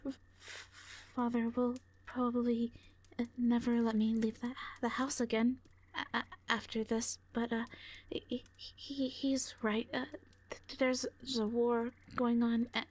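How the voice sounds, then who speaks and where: A woman as a high-voiced, stuttering shy owl girl. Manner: heavy stutter, hesitant. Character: shy, timid young female owl creature, anxious and bookish. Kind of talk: audio roleplay drama acting.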